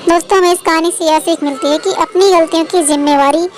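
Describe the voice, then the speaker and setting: A woman's voice: very high voice, steady tone, quick pace. A woman narrating a scripted moral story as the storyteller and host.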